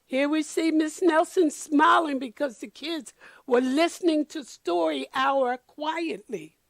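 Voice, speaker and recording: A woman reading a children's picture book aloud expressively, deliberately addressing children and explaining the pictures. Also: high voice, creaky, no room sound.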